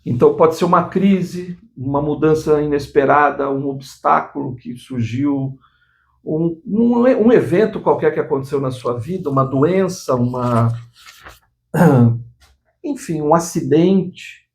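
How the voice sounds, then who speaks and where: A man's voice: fairly high pitch, animated, a little slow, creaky, slight background noise. A man in his sixties hosting his own channel, giving an earnest motivational talk to the viewer, often restarting phrases and adding tag questions. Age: 60s. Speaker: channel host.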